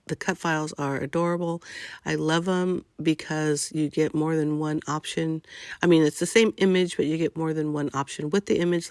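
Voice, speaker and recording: A chatty older woman with a cold-stuffed nose narrates her crafting video in a gravelly, fry-edged voice. The sound is dry and close, with no room around her, and she fills her talk with happy little asides. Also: low-pitched, no echo. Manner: chatty and gushing, with frequent fillers. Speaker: scrapbooking crafter.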